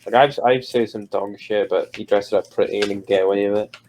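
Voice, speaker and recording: A man's voice: on the low side, steady tone, brisk, a little mellow, a little hiss or hum. A man with a Welsh accent bantering casually as co-host, often stammering and restarting his words. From Wales.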